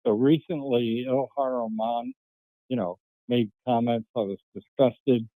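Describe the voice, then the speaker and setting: An older retired man calling in to a phone meeting, earnest and deliberate. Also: medium pitch, steady tone, no echo, phone-quality sound. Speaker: caller.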